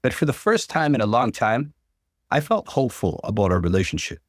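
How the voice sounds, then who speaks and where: A man reading an online story aloud as the channel's narrator, in an expressive storytelling manner. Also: mid-range voice, a little fast, no echo, studio quality.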